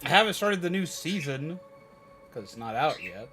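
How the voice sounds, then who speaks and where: A man livestreaming, chatting casually and rambling to viewers in an animated, emphatic, opinionated way. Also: a little high, normal speed, soft background music. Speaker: live streamer. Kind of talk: casual rambling chat with viewers while gaming.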